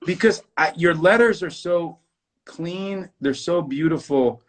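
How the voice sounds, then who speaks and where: A man's voice: on the high side, measured pace. Effusive male interview host. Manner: effusive, enthusiastic praise. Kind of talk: casual interview.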